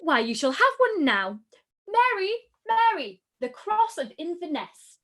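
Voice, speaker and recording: A woman playing an excitable, boisterous teenage sister in a period radio play, gushing and impulsive. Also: very high voice, a little slow, exaggerated voice. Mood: excited. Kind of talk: live-read period radio play acting.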